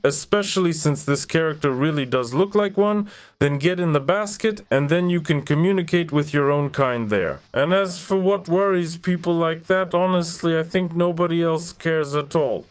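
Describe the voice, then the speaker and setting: A man's voice: fairly high pitch, moderate pace, clean tone, no echo. A man whose native languages are Ukrainian and Russian, hosting a sarcastic commentary video with mocking asides. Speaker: commentary channel host.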